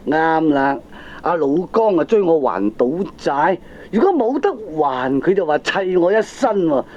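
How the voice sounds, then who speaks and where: A man's voice: on the high side, big pitch swings, unhurried, darkish tone. An actor playing a foolish, money-grubbing middle-aged father and gambler in a Cantonese comedy radio drama, with a Hong Kong accent and exclamatory, wheedling, argumentative speech. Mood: gleeful, scheming. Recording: dull lo-fi old cassette tape.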